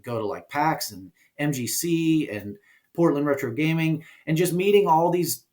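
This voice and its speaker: A man talking casually as an interview guest, explaining his work in an informal, halting way. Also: on the high side, darkish tone. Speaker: guest, indie game developer and producer.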